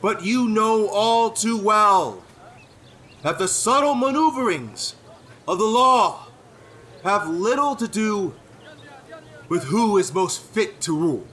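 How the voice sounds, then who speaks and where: A man playing a self-assured young nobleman in a fantasy audio drama, speaking in a formal, deliberate, oratorical way. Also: high voice, lively intonation, pushed and bright, full character voice. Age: young adult.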